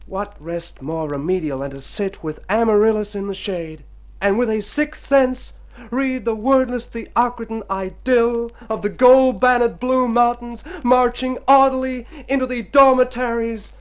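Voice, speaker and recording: An actor playing an excitable, self-pitying hypochondriac in an old radio drama, with a theatrical, florid delivery. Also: male voice, extremely high pitch, a little slow. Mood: lofty, rapturous. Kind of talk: golden-age radio drama acting.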